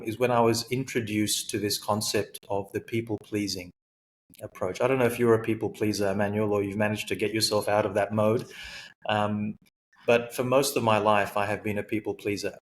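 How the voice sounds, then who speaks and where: Low-voiced man, reflective guest storyteller. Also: fairly even intonation, fairly quick, a little hiss or hum. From Sri Lanka, raised in Australia. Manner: thoughtful, frequent fillers and self-corrections. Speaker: guest, high-performance coach.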